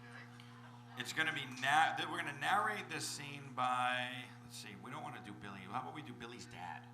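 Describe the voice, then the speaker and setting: Animated man hosting in an echoey hall. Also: medium pitch, fairly quick. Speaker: moderator. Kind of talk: informal live stage hosting. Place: convention stage before an audience. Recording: echoey hall with music behind.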